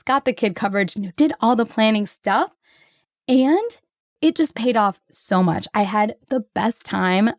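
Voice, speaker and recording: A woman hosting her own podcast solo, slightly hoarse, talking in an upbeat, grateful, chatty way. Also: a little high, no room sound, studio quality. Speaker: podcast host.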